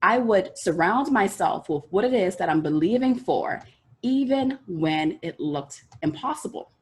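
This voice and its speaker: A woman in her thirties from the Bahamas with a Bahamian accent, giving an earnest, direct motivational teaching talk and speaking fast at times. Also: mid-range voice, expressive. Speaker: life coach teaching a session.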